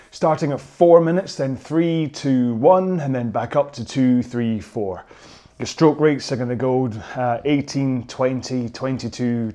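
A man coaching a rowing workout, calling out cues in an upbeat, jokey way, his voice slightly hoarse. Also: medium pitch, warm tone. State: a bit hoarse. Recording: music playing behind. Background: workout music.

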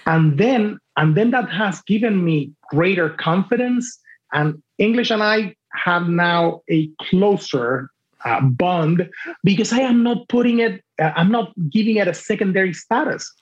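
A man whose first language is Spanish, a translator speaking as a podcast guest in a deliberate, reflective way that often restarts its phrases. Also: sits high, animated. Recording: dull lo-fi remote audio.

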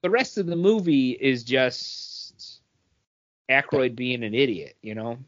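A man's voice: medium pitch, animated, takes it slowly, no echo. Dry, dull-sounding male podcast co-host. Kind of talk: casual comics and film chat.

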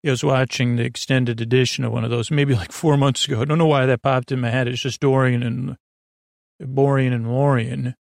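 A man hosting a bedtime podcast, drifting through meandering, digressive talk in a soft, unhurried, self-deprecating way. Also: medium pitch, level tone, creaky, no echo, clean studio sound. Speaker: podcast host.

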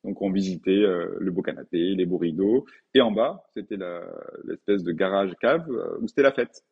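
A man's voice: low voice, animated, moderate pace, husky, muffled recording. A man in his forties from France, talking casually as an interview guest, thoughtful and hesitant with many fillers and restarts. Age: 40s. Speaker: guest, painter.

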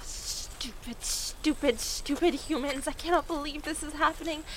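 A woman's voice: high voice, a little slow, fairly bright tone, a little hiss or hum, poor audio. An actress playing an anxious, wry and indecisive witch who chatters to herself in an audio drama. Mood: flustered, anxious. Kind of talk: supernatural audio drama acting.

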